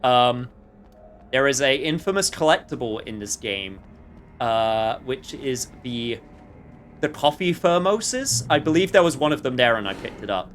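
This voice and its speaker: A man's voice: medium pitch, big pitch swings, unhurried, fairly bright tone, a little hiss or hum. A man livestreaming a video game with casual commentary, chatting to viewers in a rambling way with jokey asides.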